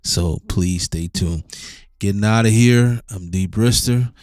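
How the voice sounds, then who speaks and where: A man's voice: on the low side, unhurried, a little mellow, no echo, studio quality. A man hosting a podcast, announcing plans in a laid-back, easy way and signing off the episode. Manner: laid-back, affirming backchannels. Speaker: podcast host. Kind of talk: casual podcast sign-off and chat.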